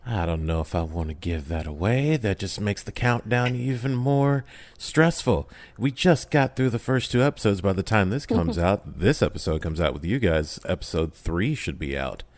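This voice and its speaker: Very deep-voiced man telling a story. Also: expressive, moderate pace, dry recording. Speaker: podcast guest, audio drama creator. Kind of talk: casual interview talk, recapping a story.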